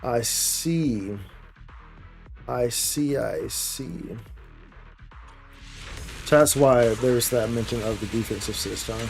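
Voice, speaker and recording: A man's voice: mid-range voice, takes it slowly, vocal fry, pauses often, darkish tone. A man livestreaming an action game with casual, slangy commentary, thinking aloud as he plays. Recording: game music behind the voice. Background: game music.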